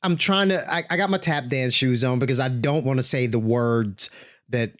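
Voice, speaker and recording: A man co-hosting a podcast in a laid-back, casual way, quick to laugh. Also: mid-range voice, fairly bright tone, no room sound, studio quality. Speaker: podcast co-host.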